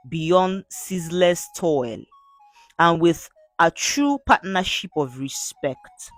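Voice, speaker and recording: Woman storyteller with lively, swooping intonation. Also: lowish voice. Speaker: storyteller narrator. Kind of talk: scripted folktale narration.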